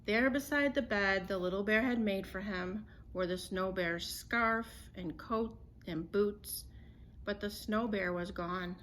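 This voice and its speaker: A woman's voice: medium pitch, slow pace, a bit bright. Woman reading a storybook, noisy recording. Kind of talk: children's picture book read-aloud.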